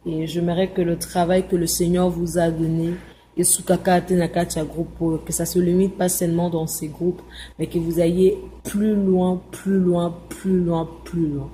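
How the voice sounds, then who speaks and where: A woman leaving a soft, affectionate and repetitive phone voice message. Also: lowish voice, flat delivery, a little slow, a little hiss or hum. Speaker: group member. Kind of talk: casual voice message.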